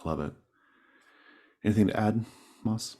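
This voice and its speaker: A man with a low, husky voice leads a tabletop roleplaying game. He moves between reading rules, describing scenes and prompting players with an encouraging manner. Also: moderate pace, dark tone. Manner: encouraging, guiding players with questions. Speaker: game master. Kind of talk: narrating a tabletop roleplaying game, part read from rules and part conversational.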